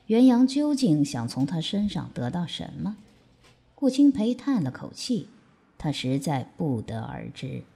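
A woman's voice: fairly low pitch, sing-song, a little slow, creaky, dark tone, breathy edge. A woman reading scripted narration for an audio drama.

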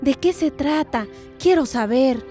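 A woman's voice: sits high, expressive, a little slow, mellow, soft background music. An actress playing an eager, determined village woman in a radio soap opera.

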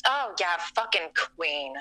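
A woman's voice: lowish voice, lively intonation, slow, pushed and bright, exaggerated voice, rough recording. A woman playing a flippant, breezy young best friend, casual and airily dismissive, in a comedy radio play.

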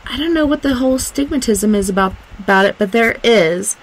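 A woman's voice: on the high side, lively intonation, fairly quick, slightly rough, rough recording. A woman from Arkansas hosting a radio talk show, chatting casually and rambling with plenty of "like" and "um.". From Arkansas, USA. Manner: rambling, lots of "like" and "um". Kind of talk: casual on-air chat.

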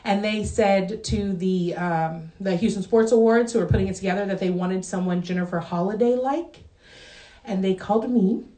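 A woman co-hosting a live chat and talking in an animated, laughing storytelling way. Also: mid-range voice, normal speed. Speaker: singer and co-host. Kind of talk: casual chat with an online audience. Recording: echoey room.

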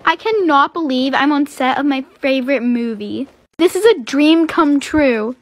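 A woman's voice: sits high, normal speed, natural voice. A woman voicing a put-upon but hopeful teenage girl, earnest and given to complaining. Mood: excited.

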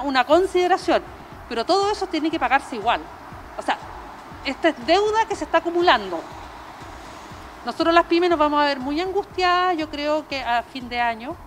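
A Chilean woman with a high voice speaks earnestly and emphatically as a business owner being interviewed. She talks spontaneously through a face mask into a handheld mic, with clear street noise around her. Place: open-sided restaurant terrace by a street. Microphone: handheld reporter microphone. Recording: outdoor street noise with traffic.

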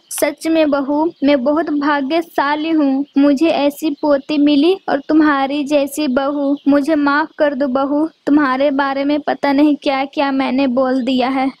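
A woman's voice: high voice, dry recording, muffled recording. A woman voicing an old mother-in-law bird in a cartoon, who scolds sharply and then begs forgiveness. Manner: scolding, then tearfully pleading. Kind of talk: cartoon voice acting.

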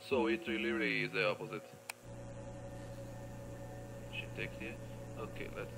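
A man's voice: fairly low pitch, fairly even intonation, brisk, vocal fry, noisy background. A man doing casual gameplay commentary, muttering to himself in short fragments. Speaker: gamer playing and commenting. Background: game music.

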